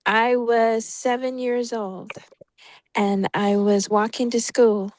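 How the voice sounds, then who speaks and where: A woman's voice: fairly high pitch, flat delivery, slow pace, darkish tone, dry recording. A woman on a video call telling a personal story in a casual, rambling way, often stopping to search for words. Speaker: prayer group participant.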